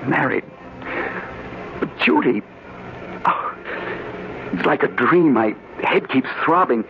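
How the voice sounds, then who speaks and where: An earnest, bewildered American man who has lost his memory in a vintage radio drama. His delivery is halting and theatrical, with big swings in pitch. The old recording is muffled and clearly noisy. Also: animated. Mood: bewildered, distressed. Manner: dramatic, halting, repeating words in disbelief. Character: bewildered man recovering from amnesia, an earnest former reporter. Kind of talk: old-time radio acting.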